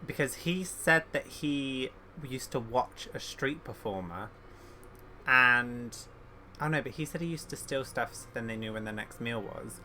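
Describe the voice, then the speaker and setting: Bright-voiced man doing casual gameplay commentary. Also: medium pitch, measured pace, a little hiss or hum. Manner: thinking aloud, opinionated. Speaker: game streamer.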